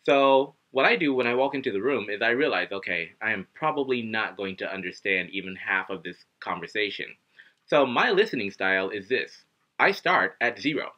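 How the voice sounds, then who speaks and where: Lively lo-fi male video teacher. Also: fairly low pitch, normal speed. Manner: animated, acts out students and himself, gestures a lot. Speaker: English teacher. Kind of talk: casual video lesson to camera. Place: living room at home, indoors. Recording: dull lo-fi webcam audio.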